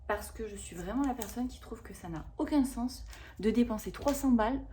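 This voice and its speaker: A woman in her thirties chatting casually to camera about a shopping haul, rambling and expressive with lots of fillers. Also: on the high side, a little fast, slight background noise. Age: 30s. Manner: chatty and rambling, with frequent fillers and self-corrections. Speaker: vlogger reviewing a shopping haul.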